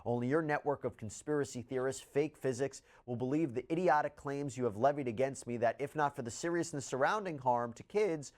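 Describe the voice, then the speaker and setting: A man presenting news and reading out statements with measured, deliberate emphasis. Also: mid-range voice. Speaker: news commentator.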